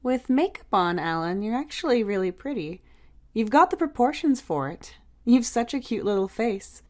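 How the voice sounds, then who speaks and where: Woman voicing a breezy, high-pitched teasing big sister. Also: big pitch swings, moderate pace. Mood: playful teasing. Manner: breezy, coaxing, exclamatory. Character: teasing, bossy but caring older sister, young beautician. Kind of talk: audiobook character dialogue.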